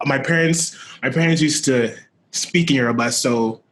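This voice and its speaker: A man hosting a podcast interview in a relaxed, easygoing way, laughing often and leaning on "you know". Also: medium pitch, moderate pace. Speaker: podcast host. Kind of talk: casual interview chat.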